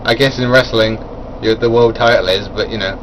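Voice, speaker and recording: A man's voice: fairly low pitch, fairly even intonation, a little fast, a little hiss or hum, poor audio. A man giving a casual, rambling spoken review of a wrestling event, full of fillers and chuckling at his own asides.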